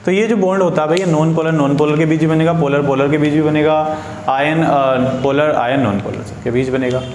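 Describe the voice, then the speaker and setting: A man's voice: a little high, steady tone, a little fast, a little mellow. A man with an Indian accent teaching informally at a board as a science teacher, repeating key phrases and quizzing his listeners with questions. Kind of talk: informal board lecture for students. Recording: echoey room with faint background noise.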